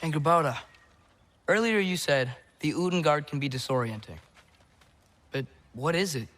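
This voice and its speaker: A man's voice: on the high side, expressive, moderate pace, a bit bright. A young male actor playing an earnest, curious, slightly awkward teenage boy in a video game, talking casually and hesitantly. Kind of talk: naturalistic video game acting.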